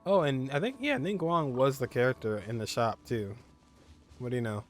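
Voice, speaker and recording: A man's voice: medium pitch, expressive, moderate pace. A man giving casual gameplay commentary, muttering offhandedly to himself as he plays. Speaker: gamer, streamer. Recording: game music behind voice.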